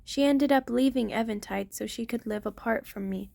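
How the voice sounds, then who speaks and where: High-voiced, tender vampire queen played by a woman. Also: moderate pace, vocal fry, no echo. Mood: wistful. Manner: tender, soft-spoken, formal wording. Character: ancient female vampire queen, tender, protective, devoted lover. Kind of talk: intimate ASMR roleplay monologue.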